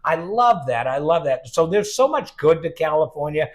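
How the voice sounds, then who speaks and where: A man's voice: a little high, very animated, normal speed. Chatty man with a New England accent. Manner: chatty, opinionated, rambling with asides. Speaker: financial advisor guest. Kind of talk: casual interview talk. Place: Sacramento-area.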